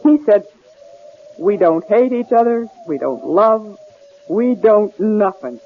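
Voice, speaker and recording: A woman's voice: medium pitch, lively intonation, narrow, tinny audio. A woman playing an anxious, introspective wife in an old-time radio drama, speaking in a hesitant, earnest way. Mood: halting confession. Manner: hesitant, earnest, halting delivery. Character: anxious, introspective young wife held captive, wanting independence. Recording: hissy, band-limited old radio drama recording.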